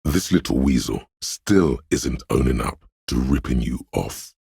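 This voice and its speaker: Menacing inner voice of fear, extremely deep, very husky, paranoid, intense, video game narration. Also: male voice, lively intonation, a little mellow, no room sound, clean studio sound, exaggerated voice. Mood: dark, menacing. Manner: ominous, deliberate, intense. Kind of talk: scripted game voice acting, second-person narration.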